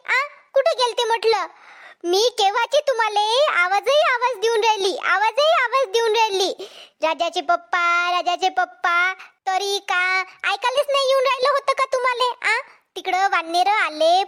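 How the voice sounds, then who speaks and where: Super high squeaky village farm wife, vidarbha accent, flustered n naggy, cartoon comedy. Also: female voice, fairly bright tone. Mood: scolding, indignant. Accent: Vidarbha Marathi. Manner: dramatic, complaining, repeats herself.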